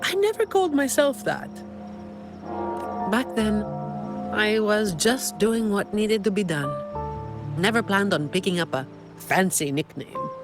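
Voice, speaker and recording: Casting: a composed young female game operative, worn down by work, dry and teasing, casual delivery over clear background music. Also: mid-range voice, big pitch swings, unhurried, long pauses. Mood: matter-of-fact. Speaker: video game voice actor. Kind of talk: scripted game dialogue. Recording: loud music behind the voice.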